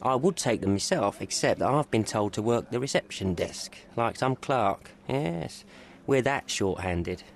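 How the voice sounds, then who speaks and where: A man's voice: medium pitch, animated, measured pace, creaky, slight background noise. Wry desk policeman. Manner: wry, talkative. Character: chatty overworked police desk officer. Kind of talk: video game voice acting.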